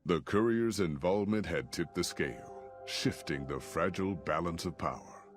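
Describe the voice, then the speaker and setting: A man narrating a game epilogue in a steady, storytelling way, over game music. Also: sits low, moderate pace.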